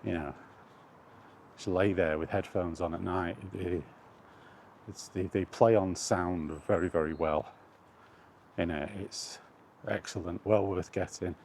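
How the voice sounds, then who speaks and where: A man's voice: deep voice, fairly even intonation, a little slow, vocal fry, a little mellow, a little hiss or hum. A man chatting casually in a meandering, hesitant way as he records a walking vlog outdoors, often correcting himself. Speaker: vlogger. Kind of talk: casual rambling walk-and-talk chat.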